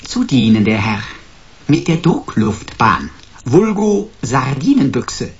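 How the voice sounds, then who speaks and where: An actor voicing a sarcastic computer sidekick in a German sci-fi radio play, with pompous, florid, mock-servile delivery. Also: male voice, medium pitch, big pitch swings, slow pace, gravelly, highs cut off, a little hiss or hum, heavily acted. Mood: mock-servile, smug.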